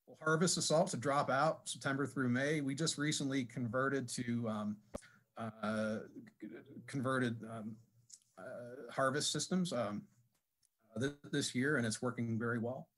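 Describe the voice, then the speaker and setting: A man giving a technical presentation at a conference, speaking haltingly with many fillers and restarts. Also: mid-range voice, steady tone, a bit bright, low-quality recording. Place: conference session room.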